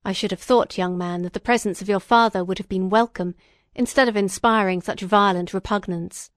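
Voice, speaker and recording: Woman reading as a measured, formal male magistrate. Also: mid-range voice, fairly even intonation, no echo, studio quality, close to an everyday voice. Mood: severe. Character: benevolent male magistrate, gentle but sometimes severe.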